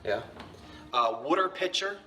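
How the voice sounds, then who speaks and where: A man's voice: on the high side, lively intonation, unhurried, bright, forceful tone, a little hiss or hum. A man with a New Jersey accent, casually showing and describing antique dishes in a chatty, self-correcting way. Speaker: antiques seller. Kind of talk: casual show-and-tell vlog.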